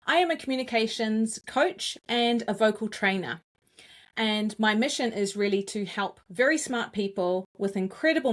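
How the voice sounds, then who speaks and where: Deliberate New Zealand woman coach. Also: medium pitch. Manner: deliberate, clearly articulated cadence. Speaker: communications coach and guest. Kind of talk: interview answers.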